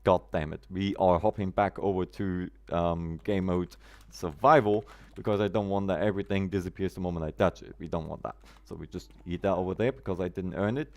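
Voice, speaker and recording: A man's voice: deep voice, steady tone, brisk. A man from Germany, chatting casually on a gameplay live stream, greeting viewers by name in quirky, roundabout phrasing.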